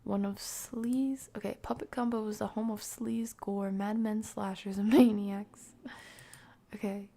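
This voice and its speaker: Woman, young adult, game streamer, breathy half-whisper, slow, dark mellow tone. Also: mid-range voice, level tone. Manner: soft, breathy half-whisper, laid-back. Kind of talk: casual let's-play chat.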